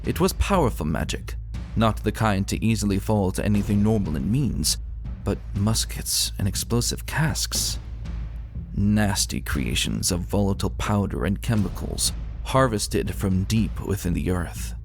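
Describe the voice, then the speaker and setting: Low-voiced man narrating a dramatic tale. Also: animated, a little slow, faint music behind. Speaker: narrator of a fan fiction audio reading. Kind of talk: dramatic scripted storybook narration.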